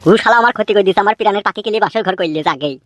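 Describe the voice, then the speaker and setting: A man's voice: sits high, racing, no echo, highs cut off, lightly acted. A smitten young cartoon suitor with a Bengali accent played by a man. He talks at breakneck speed, eager, wheedling and boastful. Music sits behind the voice. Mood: lovesick, vengeful. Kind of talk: comedy cartoon dialogue.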